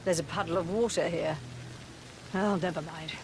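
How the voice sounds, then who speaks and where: An actress playing a commanding, impatient family matriarch in a video game. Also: female voice, fairly low pitch, fairly even intonation, moderate pace, slight background noise, lightly acted, rough recording. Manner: curt, commanding. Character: imperious older matriarch, controlling and impatient.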